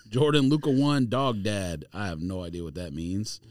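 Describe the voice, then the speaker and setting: A man's voice: lowish voice, measured pace, a little mellow, no echo. A man chatting casually with friends on a sneaker podcast, slangy and teasing. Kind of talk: casual banter among friends about sneakers. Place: close-miked dry podcast studio.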